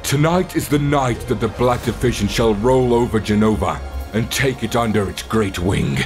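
A man's voice: on the low side, animated, moderate pace, faint music behind, pitched above the performer's own voice, lightly acted. A man voicing an arrogant, threatening armored warlord in a fantasy audio drama, speaking with haughty menace. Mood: gloating.

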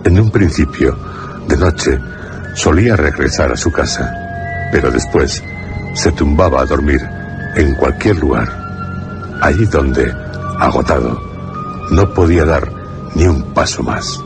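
Very deep-voiced male horror narrator. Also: lively intonation, music in the background. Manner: grave, deliberate phrasing with pauses. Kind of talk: scripted dramatic horror narration.

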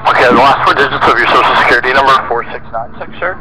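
A man's voice: medium pitch, racing. A man working as a phone collection agent, polite and formulaic with some hesitation. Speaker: debt collection call agent. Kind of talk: customer service phone call. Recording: very noisy phone call recording.

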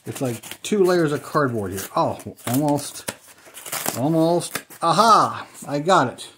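A man's voice: on the high side, animated, slow pace, a bit bright, a little hiss or hum. Man, middle-aged, casual unboxing chatter, thinks aloud, home table, faint packaging rustle. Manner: chatty, thinks aloud while handling items. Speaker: toy car collector unboxing.

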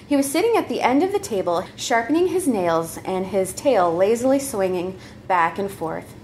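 A woman's voice: medium pitch, very animated, normal speed, darkish tone, slight background noise. A woman reading a comedic story aloud live, narrating in a playful, giggly way and readily breaking character. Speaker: troupe member reading own comedy story.

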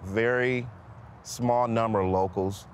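A man's voice: mid-range voice, steady tone, slow pace, a little hiss or hum. A man being interviewed outdoors among old factory buildings, speaking plainly and easily. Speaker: local guide. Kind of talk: interview conversation. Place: outdoors in a derelict industrial area.

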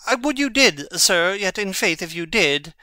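An actor playing a witty, sardonic young prince in a Shakespearean audio drama, speaking in an articulate, theatrical, mocking way. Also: male voice, high-pitched, animated, unhurried, pushed and bright, dry recording, full character voice.

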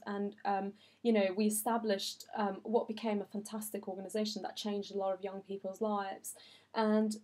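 A woman's voice: mid-range voice, darkish tone. A young woman from Iran who grew up in Sweden, answering viewer questions in a chatty, earnest vlog from her office. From Iran, raised in Sweden. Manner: chatty and earnest, many fillers. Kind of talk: casual chat.

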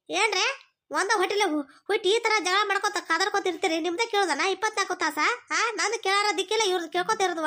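A woman's voice: extremely high pitch, pushed and bright. A woman playing a harried village mother who scolds her two quarrelling daughters in a one-person comic skit, speaking. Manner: scolding, nagging, rapid.

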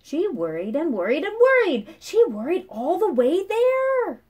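A woman in her thirties reading a picture book aloud to children with expressive, dramatic phrasing. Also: very high-pitched, measured pace. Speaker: children's story reader. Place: small room at home, indoors.

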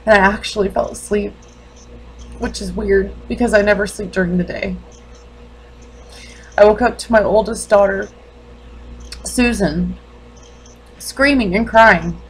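A woman playing a grieving, defensive mother in a dramatic monologue, halting and intense. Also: mid-range voice, husky, pitched above the performer's own voice, low-quality recording. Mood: anguished, grieving. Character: grieving, defensive mother confronting her doctor.

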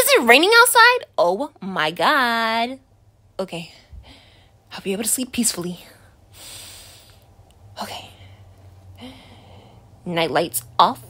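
A woman's voice: mid-range voice, bright, forceful tone, full character voice. A woman voicing a jumpy, foul-mouthed teenage boy in an ASMR roleplay, swearing and being dramatic.

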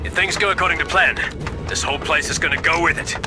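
An actor playing a tough clone trooper officer in a video game, clipped and military in manner. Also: male voice, a little high, fast talker, loud music behind the voice, close to an everyday voice. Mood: businesslike. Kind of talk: video game cutscene acting.

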